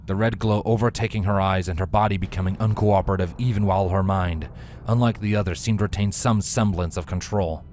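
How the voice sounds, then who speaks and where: A man reading scripted fantasy fiction as a narrator, in a measured, solemn, dramatic way. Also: deep voice, darkish tone, a little hiss or hum. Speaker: narrator, fan fiction reader.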